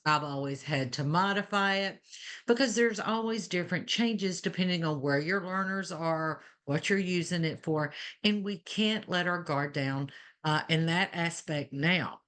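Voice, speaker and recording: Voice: mid-range voice, normal speed, a bit bright. A nurse educator with a Southern Alabama accent, speaking earnestly and at length on a video-call webinar panel, with frequent "you know" asides.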